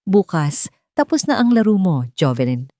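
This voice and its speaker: A woman's voice: lowish voice, very animated, moderate pace, mellow, dry recording, studio quality. A woman voicing a cold, scheming elderly mother-in-law in an audio drama, manipulative and urgent. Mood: cold, menacing.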